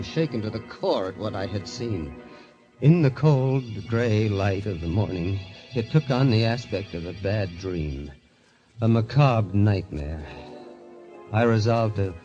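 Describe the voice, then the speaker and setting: An actor playing a haunted club member who tells his own story with measured, dramatic dread in a golden age radio mystery. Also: lowish voice, warm tone, close to an everyday voice. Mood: shaken. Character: haunted club member narrating his obsession, tense, confessional. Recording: dull old radio recording with music.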